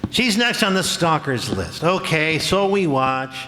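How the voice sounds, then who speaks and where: An actor playing a jumpy, belligerent beat cop with tough, slangy grumbling in an old-time radio drama. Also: male voice, fairly high pitch, big pitch swings, unhurried, pushed and bright, full character voice. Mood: restless, belligerent. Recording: noisy reverberant hall stage performance.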